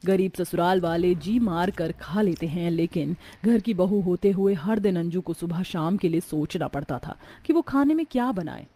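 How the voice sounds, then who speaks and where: A woman narrating a scripted moral story in an expressive storytelling manner. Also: fairly low pitch, normal speed, few pauses, no room sound.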